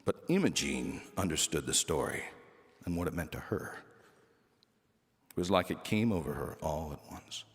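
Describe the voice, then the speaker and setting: A man narrates a funny children's story with a very lively, swooping delivery and well-placed dramatic pauses. His voice rings in an echoey hall, with music behind him. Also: rumbling low, breathy edge. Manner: animated, dramatic pauses and emphasis. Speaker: storyteller reading a children's book aloud. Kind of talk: expressive scripted narration of a humorous story.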